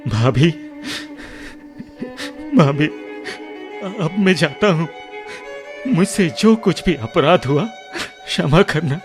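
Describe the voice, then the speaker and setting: A weepy guilty younger brother in a radio play, slow and pleading, music behind him. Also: male voice, fairly high pitch, lively intonation. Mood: sorrowful, resigned. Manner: halting, pleading, repeats words. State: tearful. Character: remorseful, tearful younger brother in a village family. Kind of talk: Hindi radio drama acting. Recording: music under the voice.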